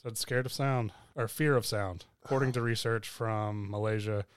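A soft-spoken man with a fairly low voice co-hosts a casual podcast. He talks in a laid-back way with dry humor. The close studio mic sounds dry. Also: steady tone, moderate pace, smooth, no echo. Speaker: podcast co-host. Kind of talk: casual chat.

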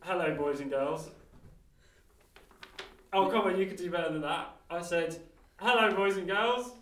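A man's voice: sits high, a little slow, fairly bright tone, far from the mic, pitched above the performer's own voice. An English man acting an earnest, pleading pantomime dame as an amateur, in a roomy recording slightly off-mic. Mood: rousing.

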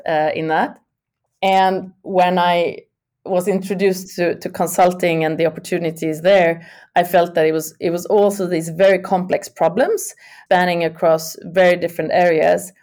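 A woman's voice: on the low side, darkish tone. A middle-aged woman from Sweden, a podcast guest talking thoughtfully with many fillers and restarts. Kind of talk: podcast interview.

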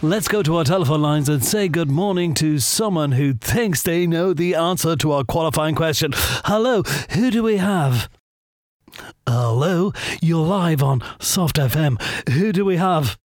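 An actor playing a brash, cheesy local-radio breakfast presenter with an Irish accent and showy, flippant patter. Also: male voice, on the high side, animated, measured pace, dry recording, studio quality. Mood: upbeat, showy. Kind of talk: comic radio play, radio DJ patter.

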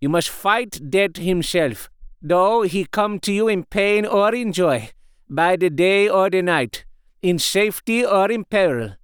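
A man's voice: high-pitched, expressive, smooth, no echo, clean studio sound, pitched above the performer's own voice. A man doing a solemn old professor. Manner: slow, grave, pleading. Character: older male professor, solemn, fatherly.

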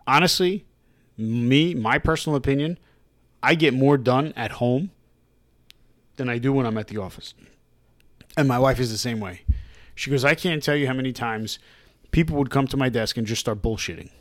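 Male podcast co-host, loud and jokey, mid-pitch, dry booth sound. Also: moderate pace, long pauses, no room sound. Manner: jokey and chatty, laughs often. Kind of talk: casual chat.

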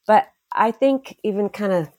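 A woman interviewer speaking encouragingly and haltingly, with many ums and restarts. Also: mid-range voice, dry recording. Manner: warm, affirming, hesitant with many fillers. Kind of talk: casual conversation.

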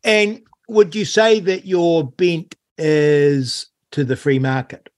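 Male host, interviewer, slightly high voice, curious questions, dry close sound. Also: expressive, slow pace, darkish tone, no echo.

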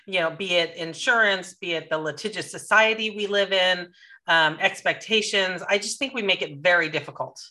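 Bright-voiced American woman hosting. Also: on the low side, normal speed. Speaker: podcast host. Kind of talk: casual interviewing.

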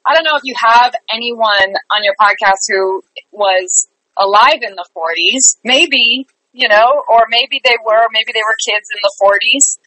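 A woman's voice: on the high side, a little slow, bright, forceful tone, dry recording, rough recording. A woman chatting casually and upbeat as a guest in an interview about her work. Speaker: theater company artistic director, guest.

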